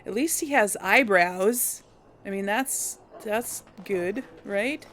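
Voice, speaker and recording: A woman's voice: mid-range voice, takes it slowly, a bit bright, a little hiss or hum. A woman hosting a let's play, giving casual gameplay commentary and thinking aloud with hesitant restarts.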